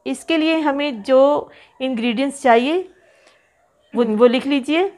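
A slightly high-voiced woman talks through a home cooking lesson in a relaxed, chatty way. She lists quantities carefully, pausing and repeating items as she goes. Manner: chatty, instructive, lists ingredients slowly with repeats. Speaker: cooking channel host. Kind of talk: home cooking tutorial voice-over. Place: home kitchen.

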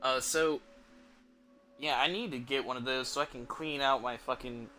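A young man chatting casually on a game livestream, halting and hesitant, with a sweary, offhand way of talking. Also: medium pitch, fairly even intonation, sharp tone, slight background noise. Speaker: video game streamer. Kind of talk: casual livestream chat over gameplay. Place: small indoor room at home.

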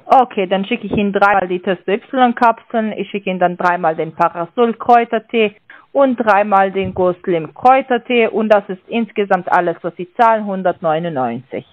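A woman's voice: medium pitch, moderate pace, no echo. A woman calling as a sales agent over the phone, talking hesitantly with many filler sounds and non-native grammar. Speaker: sales caller. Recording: dry tinny phone line.

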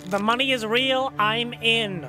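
An actor playing an excitable, money-minded member of a sci-fi fan crew in an audio drama. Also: mid-range voice, measured pace, music in the background, lightly acted. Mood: matter-of-fact.

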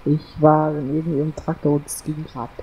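A man's voice: a little high, flat delivery, moderate pace, dark tone, slight background noise, rough recording. A man roleplaying a farm worker over voice chat, hesitant and fumbling for words.

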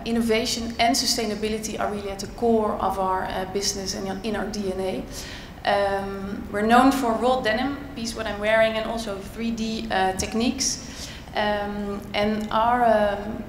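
A woman's voice: mid-range voice, slight background noise. Woman with many hesitant fillers. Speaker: panelist. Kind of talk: panel discussion. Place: large auditorium stage. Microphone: handheld mic. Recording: echoey hall with long reverb.

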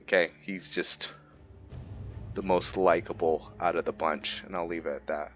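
A man's voice: sits low, fairly even intonation, normal speed, dull audio. A man hosting a casual let's play, commenting on his roleplay as he goes. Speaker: gaming host. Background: game music.

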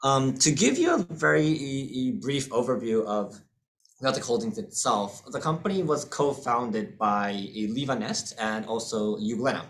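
A man's voice: medium pitch, lively intonation, moderate pace, fairly bright tone, slight background noise. A man in his thirties with an American accent, presenting his fund at an online seminar, speaking through a laptop mic with many fillers and repeated words. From born in the UK, raised in US and Hong Kong. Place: indoor room, webcam video call.

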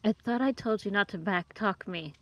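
A woman's voice: medium pitch, normal speed, dry recording, highs cut off. A woman chatting casually with friends during an online game, with dry, sassy banter. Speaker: friend in voice chat. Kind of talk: casual gaming chat.

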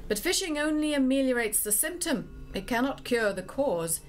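A woman giving measured, scripted storytelling narration as part of a dramatic play. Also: high-pitched, animated. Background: music.

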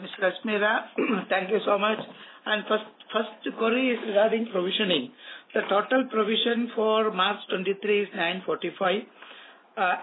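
Over a thin, noisy telephone connection, a man with an Indian accent speaks in a distinctly high voice. He explains figures formally, as a bank executive on an earnings call. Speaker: bank executive answering an analyst. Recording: phone-like conference line with clear noise.

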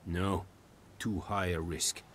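A man playing a stern, pragmatic military captain in a fantasy video game, speaking in a formal, measured way. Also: low voice, steady tone, fairly bright tone. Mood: grave, pragmatic. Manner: formal, measured, deliberate non-native phrasing. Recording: faint background noise.

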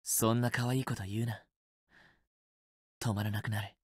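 A man's voice: fairly low pitch, level tone, normal speed, slightly rough, dry recording, clean studio sound. A man playing a possessive, teasing young student boyfriend in a romance game, with an intimate, assertive, coaxing delivery. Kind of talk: Japanese otome game voice acting, intimate romantic dialogue.

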